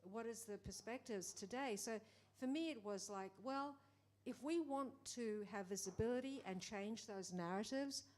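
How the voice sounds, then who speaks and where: A middle-aged woman producer speaking in a conversation, animated, acting out quoted exchanges, with many restarts. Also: a little high, moderate pace, hardly any pauses. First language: English. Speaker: film producer. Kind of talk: panel conversation.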